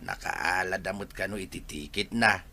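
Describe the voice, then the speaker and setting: Radio drama role: disapproving villager, fairly low yet forceful voice, slight ambient noise. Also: male voice, normal speed, gravelly, full character voice. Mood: gossipy, disapproving. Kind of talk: Ilocano radio drama acting.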